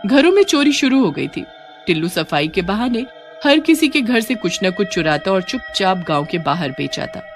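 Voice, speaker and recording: Animated woman narrating a horror story. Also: mid-range voice, moderate pace, faint music behind.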